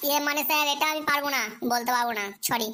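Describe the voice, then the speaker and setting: A cheeky little schoolboy with a very high, sharp voice. He rattles off silly, playful backtalk at great speed in cartoon comedy style. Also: fairly even intonation, racing. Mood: innocent cheek. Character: cheeky, silly schoolboy with literal-minded comebacks. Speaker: pupil answering a teacher.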